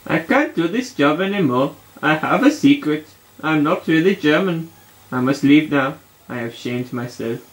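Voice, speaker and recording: A man's voice: a little high, animated, normal speed, close to an everyday voice, low-quality recording. A man voicing a polite, deferential general puppet with a formal manner in a comic puppet show. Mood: ashamed. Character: polite, eager general puppet, a hesitant chancellor with a secret.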